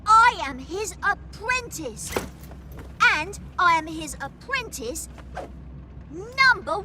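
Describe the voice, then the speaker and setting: A woman's voice: very high voice, big pitch swings, takes it slowly, voice pushed up, exaggerated voice. A woman voicing an indignant, proud young boy apprentice, declaiming every word in a podcast skit. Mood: indignant, boastful. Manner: over-enunciated, overacted, declaiming. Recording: noisy home microphone recording.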